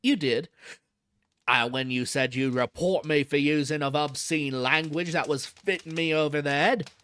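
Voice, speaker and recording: A man reading aloud and voicing a gruff old male zookeeper, grumbling in a rough dialect with dropped h's. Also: fairly high pitch, moderate pace, fairly bright tone, dry recording, close to an everyday voice.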